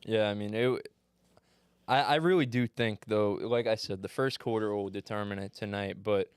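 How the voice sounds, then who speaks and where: A man's voice: on the low side, normal speed, clear, resonant tone, no room sound, clean studio sound. A young man co-hosting a podcast, chatting casually about basketball with swearing and banter. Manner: profane, laughing banter. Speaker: podcast co-host. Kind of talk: casual sports chat.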